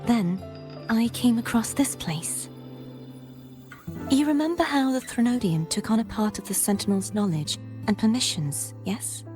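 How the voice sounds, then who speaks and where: An actress playing a solemn, dutiful young female warrior in a video game, speaking in a formal, measured way. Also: fairly high pitch, expressive, music in the background.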